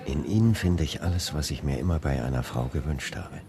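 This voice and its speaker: An actor playing an earnest, self-assured young preacher and suitor in a radio play, speaking with deliberate conviction. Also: male voice, very deep voice, a crowd in the background. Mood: courting, intent.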